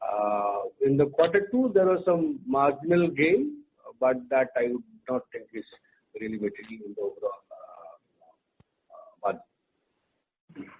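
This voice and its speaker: A man giving halting, careful answers as an executive on an earnings call. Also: medium pitch, lively intonation, breathy edge. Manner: halting, hesitant, careful delivery. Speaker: company executive. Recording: noisy, tinny phone line.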